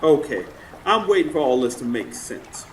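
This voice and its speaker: An actor playing a sassy, skeptical Southern friend in a radio drama, blunt, wry and teasing. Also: male voice, on the high side, very animated, normal speed. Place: stage before a live studio audience. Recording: live radio show with background noise.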